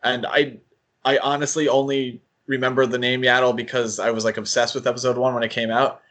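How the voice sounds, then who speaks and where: A man's voice: medium pitch, level tone, fairly quick, a bit bright, slight background noise. A man co-hosting a podcast, thinking aloud in a casual fan discussion and often qualifying what he says. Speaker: podcast co-host.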